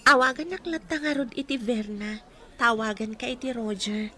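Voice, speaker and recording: A woman's voice: fairly high pitch, normal speed, pushed and bright. An actress playing an impulsive young woman wounded in love, in a radio drama. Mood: apologetic, hurt. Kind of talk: Ilocano radio drama acting.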